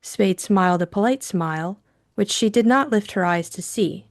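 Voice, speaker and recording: A woman's voice: medium pitch, moderate pace, dry recording. Woman narrating a novel, dry studio sound. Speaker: audiobook narrator. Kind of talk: scripted dramatic narration of a novel.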